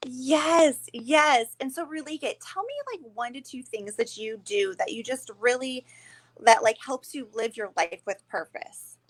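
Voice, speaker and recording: A woman's voice: high voice, a little slow. A woman hosting a casual chat from a hotel room, upbeat and enthusiastic. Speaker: life coach and host. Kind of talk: casual conversation.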